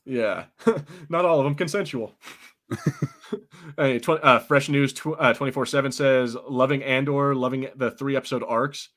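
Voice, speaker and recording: A man co-hosts a podcast in a middling-pitched voice. He talks in a casual, informal review style and swears freely. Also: moderate pace, fairly bright tone. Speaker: podcast co-host.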